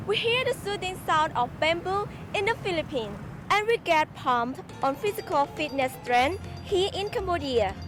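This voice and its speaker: Lively high-voiced woman teasing the next segment. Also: normal speed, hardly any pauses, over music. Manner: bright, lively promo read. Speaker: news co-anchor. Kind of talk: upbeat show teaser.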